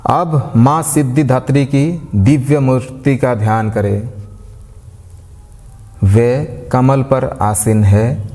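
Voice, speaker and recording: A man leading a devotional guided meditation, giving gentle, unhurried instructions in short phrases with pauses between them. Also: medium pitch, fairly even intonation. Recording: long reverb, like a large hall.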